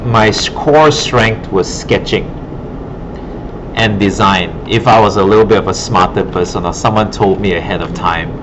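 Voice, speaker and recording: A man giving informal, rambling career advice as an art instructor, encouraging and often checking in with his listeners. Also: fairly low pitch. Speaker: art instructor and former art director. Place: classroom at an art academy. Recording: muffled audio with clear background noise.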